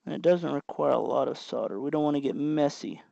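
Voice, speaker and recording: A man hosting a casual hands-on tutorial, explaining in a halting way as he works. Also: fairly high pitch, vocal fry, dark tone, no echo, muffled recording. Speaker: host of a DIY electronics tutorial.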